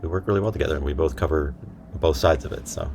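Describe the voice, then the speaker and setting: A man's voice: a bass voice, quick pace, creaky, background noise. A man talking as a guest in a casual interview, informal and easygoing. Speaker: interview guest, voice actor and writer.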